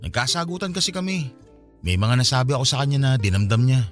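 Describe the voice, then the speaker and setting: A man's voice: medium pitch, very animated, fast talker, soft background music, lightly acted. A man acting a frustrated young boyfriend in a Filipino radio drama, venting in a conversational, complaining way with a Filipino accent. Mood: regretful.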